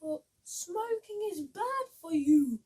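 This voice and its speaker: A woman's voice: very high-pitched, lively intonation, takes it slowly, a little mellow, pitched above the performer's own voice, full character voice. A woman voicing an earnest, loyal female scarecrow bride-to-be, speaking emphatically in a storybook reading. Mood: scolding.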